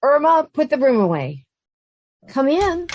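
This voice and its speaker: A woman's voice: high-pitched, big pitch swings, a little slow, a little mellow, no echo, studio quality. A woman playing an anxious, sardonic young roommate who also narrates, in an old-time radio sitcom style. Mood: frantic. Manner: wry, long-suffering, nervous.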